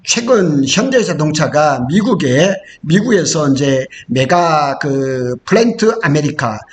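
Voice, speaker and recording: A man presenting industry news in an informal explanatory talk, stopping and starting, repeating key phrases and correcting himself. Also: a little high.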